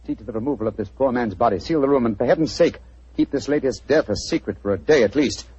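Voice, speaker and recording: An actor playing a brilliant, cool-headed detective who speaks in a crisp, commanding way in a 1940s radio drama. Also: male voice, mid-range voice, fast, a little mellow, lo-fi sound, close to an everyday voice. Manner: crisp, commanding, clipped British diction.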